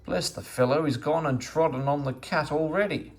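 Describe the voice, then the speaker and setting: A man's voice: on the high side, moderate pace, voice pushed up, close to an everyday voice. A man voicing a grumbling, bossy old woman in a children's storybook. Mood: irritable, bossy. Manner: querulous, bossy complaining. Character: grumbling, scolding old woman with a sore foot. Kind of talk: children's storybook read-aloud.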